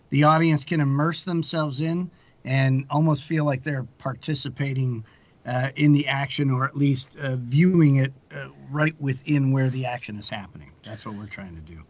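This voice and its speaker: A man's voice: mid-range voice, moderate pace, dry recording, lo-fi sound. A man speaking casually as an interview guest, rambling in a folksy, wry way about his work. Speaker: guest, audio drama creator.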